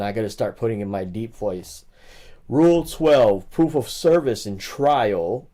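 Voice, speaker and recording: A man's voice: medium pitch, warm tone. A young man hosting a livestream, reading legal rules aloud in bursts and chatting casually with viewers between passages. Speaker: livestream host.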